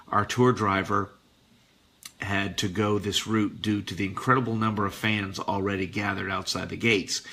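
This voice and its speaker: Forceful, bright-voiced man with a low pitch. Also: creaky, slight background noise. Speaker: radio show host. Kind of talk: reading a listener's letter aloud on air.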